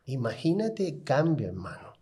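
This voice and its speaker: Guy around fifty, kinda high voice, cracking jokes about himself on a podcast. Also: sing-song, moderate pace, mellow, breathy edge. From Cuba. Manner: joking, self-mocking storyteller. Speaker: podcast co-host. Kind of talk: casual podcast chat.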